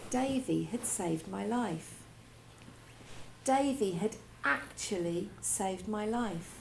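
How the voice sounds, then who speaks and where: A female teacher reading a children's story aloud, with expressive delivery and dramatic pauses. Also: mid-range voice, creaky, slight background noise, low-quality recording.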